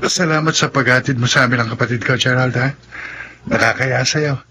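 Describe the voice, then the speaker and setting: An actor playing a friendly, grateful young male restaurant worker in a Tagalog radio drama, talking in a gently inquisitive, conversational way. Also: medium pitch, fairly even intonation, a little fast, over music, lightly acted. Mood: earnest, grateful. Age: young adult.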